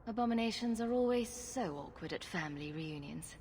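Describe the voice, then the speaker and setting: A confident adventurer heroine, voiced by a woman speaks calmly and directly, with dry humour. Her pitch is mid-range, with faint noise behind her. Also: fairly quick, close to an everyday voice. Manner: calm, direct, wry. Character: confident female adventurer hero, dry wit.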